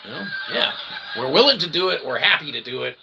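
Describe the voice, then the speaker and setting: Male vlog host, creaky voice, loose rambling delivery, mock-grand wording, noisy setup. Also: on the high side, sing-song, fairly quick, sharp tone. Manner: wordy mock-formal phrasing with constant "okay" tags; self-deprecating. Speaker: independent channel host and developer. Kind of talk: rambling casual monologue to viewers.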